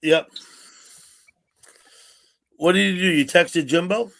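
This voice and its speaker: Guy in his 60s hosting a stream chat, kinda high voice, rambles and repeats stuff over a computer mic. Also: slow, creaky, a bit bright. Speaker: livestream host. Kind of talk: casual call-in chat.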